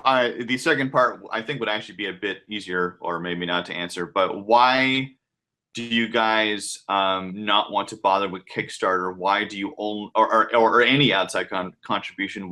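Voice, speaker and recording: Male interview host, lowish but bright voice, informal and rambling, often restarting his questions. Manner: hesitant, rambling, restarts mid-sentence. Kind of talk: casual interview.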